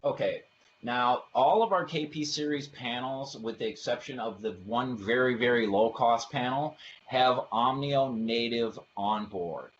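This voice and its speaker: A man's voice: mid-range voice, unhurried. A man giving an explanatory product presentation for a webinar, talking through technical slides in informal phrasing. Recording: dull lo-fi audio, faint background noise.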